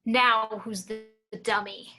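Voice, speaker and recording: A woman playing a stern female stage ventriloquist, commanding and theatrical with quiet menace, in a table read. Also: on the high side, steady tone, slow pace, faint music behind. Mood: stern, menacing. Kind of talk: amateur script table read.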